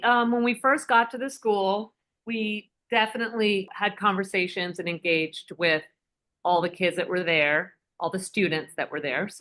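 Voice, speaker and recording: A woman filmmaker telling her story conversationally as an interview guest, measured and with deliberate pauses. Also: medium pitch, fairly even intonation, a bit bright.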